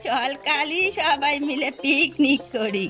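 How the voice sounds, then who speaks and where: A woman's voice: high-pitched, slow pace, sharp tone, faint music behind, exaggerated voice. A woman voicing a kindly step-grandmother of a village family in a cartoon. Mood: encouraging. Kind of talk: cartoon voice acting.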